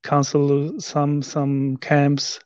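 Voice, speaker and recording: A man in his thirties from Poland with a Polish accent, speaking halting English with many restarts in a video call interview. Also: a little high, monotone, a little mellow, clear, resonant tone, dry recording, muffled recording. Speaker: interview guest, rower. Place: living room at home.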